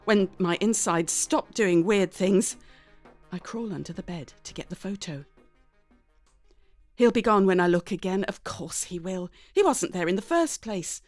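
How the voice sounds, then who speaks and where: Female storyteller, unhurried and expressive, very dry close sound, faint music underneath. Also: mid-range voice, dry recording. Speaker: story reader. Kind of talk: dramatic first-person story narration.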